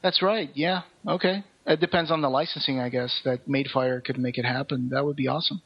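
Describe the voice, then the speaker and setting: A man's voice: medium pitch, fairly even intonation, brisk, slightly rough, lo-fi sound. A man co-hosting a podcast in casual chat, talking with enthusiasm and firm opinions. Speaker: podcast co-host.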